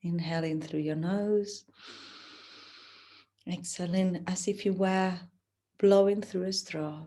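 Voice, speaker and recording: A woman teaching yoga nidra, giving gentle, soothing, unhurried guidance through a relaxation practice. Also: fairly low pitch, steady tone. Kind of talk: guided relaxation instruction.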